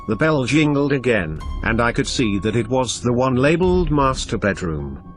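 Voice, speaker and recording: A man reading a scripted first-person horror story as an expressive narrator. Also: medium pitch, normal speed. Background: background music.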